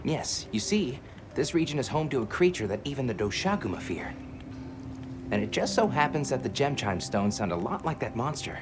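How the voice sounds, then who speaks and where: A performer playing a gentle, hospitable villager in a video game, explaining earnestly with music behind. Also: mid-range voice, big pitch swings, normal speed, lightly acted. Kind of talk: video game cutscene acting.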